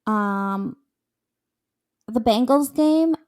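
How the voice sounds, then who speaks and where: A woman co-hosting a podcast, giving blunt, giggly takes in casual chat with a high voice. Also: lively intonation, drawn-out words, a little mellow. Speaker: podcast co-host.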